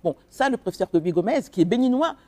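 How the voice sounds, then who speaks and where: Congolese woman guest on a radio show, mellow voice, goes up and down a lot, earnest, lots of ums. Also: on the high side, sing-song, fast talker. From Republic of the Congo. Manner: earnest, emphatic, hesitant with many fillers. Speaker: author, guest. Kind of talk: radio interview.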